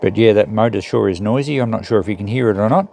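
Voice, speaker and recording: A man's voice: fairly low pitch, fast, gravelly, a little mellow, slight background noise. A man giving casual, unscripted commentary as he thinks aloud through a hands-on repair job. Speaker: host of a repair channel.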